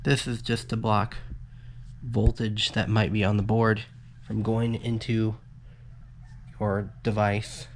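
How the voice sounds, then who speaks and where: A man's voice: lowish voice, level tone, slow, slight background noise. Casual man presenting a how-to. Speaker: electronics tutorial presenter. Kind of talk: casual hands-on how-to narration.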